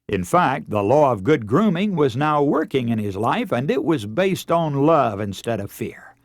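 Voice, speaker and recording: An American man preaching a radio sermon in an earnest, emphatic way, leaning deliberately on key words and telling illustrations. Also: a little high, no room sound, studio quality.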